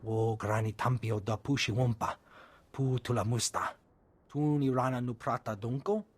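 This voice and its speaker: A man's voice: medium pitch, steady tone, moderate pace, close to an everyday voice. An actor voicing a male alien trader who talks in an invented tongue for a video game. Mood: indignant.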